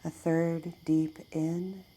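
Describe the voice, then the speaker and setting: Slow, low-voiced woman guiding a meditation. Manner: calm, measured, hushed delivery. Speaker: guided meditation narrator. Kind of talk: slow guided meditation, scripted narration.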